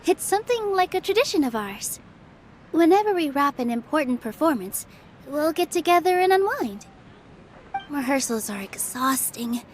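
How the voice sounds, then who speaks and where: A woman playing a gentle, modest young theater dancer in a video game, with a polite, friendly manner. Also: sits high, big pitch swings, normal speed, a little hiss or hum. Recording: game dialogue over clear background music. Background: music.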